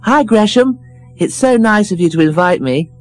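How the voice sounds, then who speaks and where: Male reader doing a squealing, starstruck party guest, very high and loud. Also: animated, normal speed, no echo, faint music behind. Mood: gushing. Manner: shrill and overexcited. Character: gushing shrill woman, star-struck and pushy.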